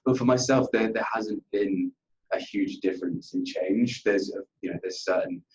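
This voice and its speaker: A man's voice: on the low side, normal speed, dull audio, a little hiss or hum. A man answering questions in a workplace interview, earnest and conversational, often repeating himself and padding with "you know". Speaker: account manager being interviewed.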